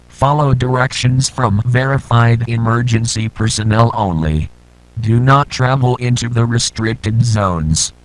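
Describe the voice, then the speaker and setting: A man voicing a stern, impersonal emergency alert announcer, reading formal scripted instructions in a measured, deadpan way. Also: mid-range voice, natural voice, low-quality recording.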